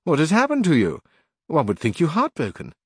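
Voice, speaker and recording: A man's voice: on the high side, huge pitch swings, brisk, husky, no room sound, clean studio sound, voice pushed up, heavily acted. A man voicing a learned young bachelor who speaks in a wry, reassuring and slightly mocking tone.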